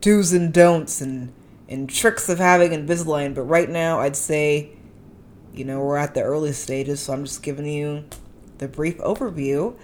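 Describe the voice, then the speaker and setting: Low-voiced woman with a slight lisp. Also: normal speed, darkish tone, a little hiss or hum. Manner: informal, conversational, slangy. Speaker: podcast host. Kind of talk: casual personal monologue.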